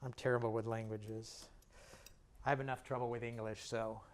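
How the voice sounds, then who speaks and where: A man teaching a painting lesson in a casual, joking way, talking as he works and steps back to look, with a slightly hoarse voice. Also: medium pitch, little pitch movement, normal speed. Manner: chatty, self-deprecating and jokey while working; talks while painting and stepping back from the canvas. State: slightly hoarse, clearing his throat. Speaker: painting instructor.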